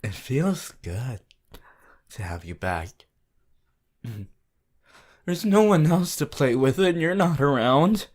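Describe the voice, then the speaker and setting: A woman plays a clingy, possessive yandere lover in an ASMR roleplay. The pitch swings widely between pleading and hushed menace. The words are drawn out very slowly, sounding unhinged. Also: sing-song, exaggerated voice. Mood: needy, craving. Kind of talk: ASMR boyfriend roleplay audio.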